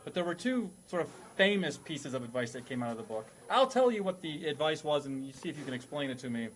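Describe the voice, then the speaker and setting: Male quiz host, slightly high voice, playful mock-formal delivery for comedy panel banter. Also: big pitch swings, fast talker, fairly bright tone.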